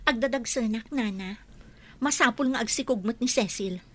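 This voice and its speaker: A woman's voice: on the high side, moderate pace, close to an everyday voice. A woman with an Ilocano accent acting a scheming, meddling character in a comedy radio drama.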